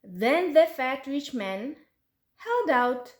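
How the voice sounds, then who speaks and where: A woman with an Uzbek accent telling a folk tale in English as a storyteller, in an expressive storybook style. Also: high-pitched, very slow. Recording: roomy, echoey sound.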